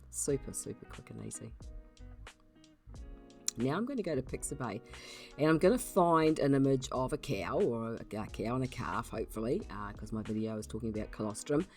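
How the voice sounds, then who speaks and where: Croaky-voiced woman giving a chatty tutorial. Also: very deep voice, darkish tone. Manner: chatty, many ums and restarts. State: croaky voice from being unwell. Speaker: YouTube channel host. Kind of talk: casual screen-recorded software tutorial.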